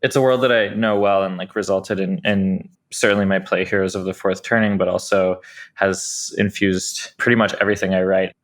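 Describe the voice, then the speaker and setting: A man's voice: low-pitched, steady tone, moderate pace. A man speaking as a playwright in a thoughtful interview, hesitant and often correcting himself as he searches for phrasing.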